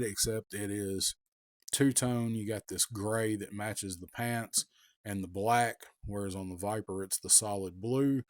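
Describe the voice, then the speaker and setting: A man with a cold, raspy, giving a casual, rambling toy review with many ums and restarts. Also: on the low side, dry recording, studio quality.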